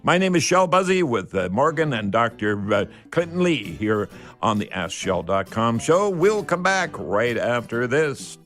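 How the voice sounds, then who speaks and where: An older man with a mid-pitched voice hosts a home-improvement call-in show. He talks casually and folksily, rambling along with old-fashioned turns of phrase. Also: big pitch swings, a little slow, a bit bright, soft background music. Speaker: radio call-in show host.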